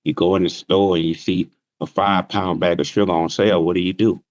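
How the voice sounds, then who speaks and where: A man's voice: low-pitched, flat delivery, a little fast, dry recording. A man teaching trading, explaining plainly with everyday examples on a remote call. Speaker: trading instructor. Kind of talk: casual interview answers.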